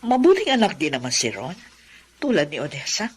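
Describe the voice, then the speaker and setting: A widowed aunt in a radio drama, calm and measured. Her voice is low and husky, with a lively pitch. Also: exaggerated voice. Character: middle-aged widowed aunt, calm and grateful. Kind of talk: classic radio drama acting.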